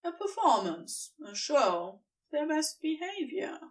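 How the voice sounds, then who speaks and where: Female fiction narrator, unhurried and deliberate, animated storytelling intonation. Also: high-pitched, distant mic. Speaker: audiobook narrator.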